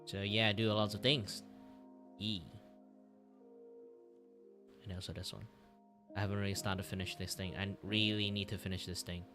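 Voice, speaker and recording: A man who speaks English as a second language, chatting casually on a livestream in a halting, repetitive way. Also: fairly low pitch, music in the background. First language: not English. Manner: halting, repetitive, animated reactions. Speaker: streamer and animator.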